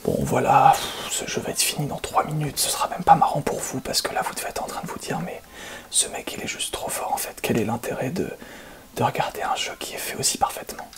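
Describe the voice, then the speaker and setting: A man's voice: medium pitch, a little fast, lightly acted. A man playing a detestable, boastful speedrun streamer who blames bugs, commentating on his game in a whispered ASMR roleplay with a condescending, rambling manner. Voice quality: breathy whisper with creaky edges. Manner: smug, condescending, hesitant rambling delivery. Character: detestable, boastful, deluded male speedrun gamer blaming bugs.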